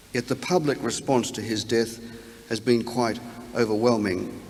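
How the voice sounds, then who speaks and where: Male, lowish pitch, solemn measured statement reader, big reverberant space. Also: a little mellow. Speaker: speaker reading a family statement. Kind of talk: formal read statement. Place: large town hall. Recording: reverberant hall, background noise, distant sound.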